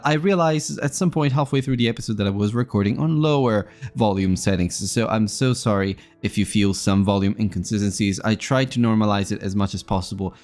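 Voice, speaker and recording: A man's voice: fairly low pitch, darkish tone. A man hosting an interview podcast in a polite, conversational way, often wordy and hesitant as he talks.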